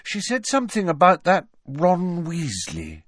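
A man's voice: sits high, huge pitch swings, measured pace, fairly bright tone, husky, dry recording, studio quality, voice pushed up, full character voice. A man voicing a dreamy, candid teenage schoolgirl in an audiobook, vague and wondering. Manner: vague, wondering, matter-of-fact. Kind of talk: audiobook character voice.

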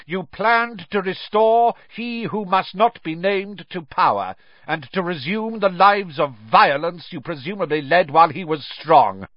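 A man voicing a stern, hate-filled older male judge in a story, loud, formal and clearly spoken. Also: sits high, expressive, measured pace, no echo, lo-fi sound, voice pushed up. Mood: bellowing condemnation.

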